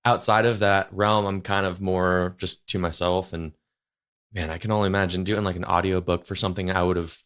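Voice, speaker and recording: Rambling, slightly low-voiced male host. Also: little pitch movement, fairly quick, a bit bright, clean tone. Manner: rambling, heavy use of "you know" and "like". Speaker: podcast host. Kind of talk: casual interview chat.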